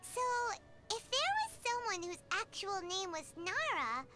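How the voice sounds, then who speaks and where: Chatty little fairy sidekick, extremely high childlike voice, slow delivery, video game. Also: female voice, very animated, loud music behind the voice, full character voice. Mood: chatty, puzzled. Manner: refers to self in third person, exclamatory. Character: tiny childlike floating fairy companion, chatty, indignant, easily offended.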